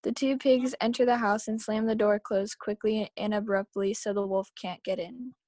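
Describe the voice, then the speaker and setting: A woman's voice: mid-range voice, fairly even intonation, normal speed, dark tone, no room sound. A woman reading scripted story narration aloud at a table read over a video call.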